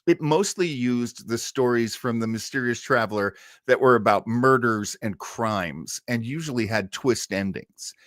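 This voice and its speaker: A man's voice: mid-range voice, measured pace, hardly any pauses, fairly bright tone, no room sound, clean studio sound. A man hosting an old-time radio livestream, chatting casually and enthusiastically in a rambling way.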